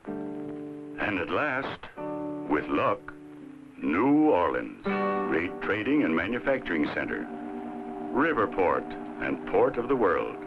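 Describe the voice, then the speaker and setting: Muffled old soundtrack, low male narrator, measured and dramatic, swooping intonation, guitar behind. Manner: measured, dramatic delivery with deliberate pauses. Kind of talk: scripted educational film narration. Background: plucked guitar music.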